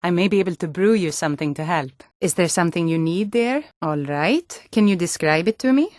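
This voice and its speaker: A woman's voice: on the low side, animated, fairly quick, darkish tone, no echo, clean studio sound, natural voice. A woman voicing a hardy, forthright Nord townswoman and guard in a fantasy video game, speaking in an earnest, firm, plain-spoken way. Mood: gentle, caring.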